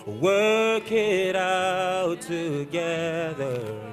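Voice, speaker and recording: A woman's voice: fairly low pitch, fairly even intonation, very slow, soft background music. A woman singing a ballad. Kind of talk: slow sung ballad.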